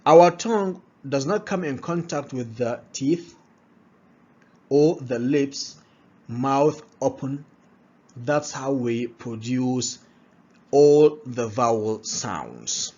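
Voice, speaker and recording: A man's voice: medium pitch, expressive, slow pace, mellow. A man teaching a pronunciation lesson, often asking rhetorical questions and checking in with "all right?". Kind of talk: classroom-style lesson. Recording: dull lo-fi recording.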